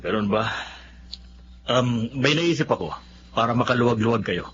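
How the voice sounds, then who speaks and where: A man's voice: mid-range voice, normal speed, a bit bright, slight background noise, natural voice. A man playing a confident, blunt older brother back from working abroad, in a Filipino radio drama. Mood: concerned, persuasive. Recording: slightly muffled radio drama audio.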